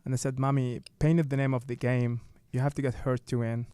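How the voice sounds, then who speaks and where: A man in his thirties with a Tunisian accent, a radio guest telling his story in simple, halting English. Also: mid-range voice, little pitch movement, mellow, smooth, dry recording. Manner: simple broken English with many restarts. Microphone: studio mic.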